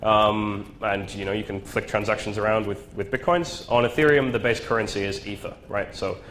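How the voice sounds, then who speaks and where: A man's voice: fairly low pitch, steady tone, moderate pace, a bit bright, slight background noise. A man with a nasal, flu-stuffed voice giving a casual tech talk at a meetup, with lots of ums and "right?". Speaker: presenter. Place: meetup venue.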